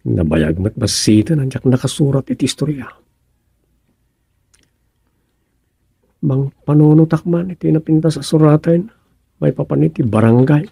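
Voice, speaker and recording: A good-humoured, drowsy village captain in a radio comedy. His voice is husky and a little high, with a gravelly creak, and he banters and muses with English words dropped in. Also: animated, fairly quick, dark tone, no echo, heavily acted. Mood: musing to himself. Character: sleepy, good-humoured village captain and husband who writes stories. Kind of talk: Ilocano radio comedy drama acting.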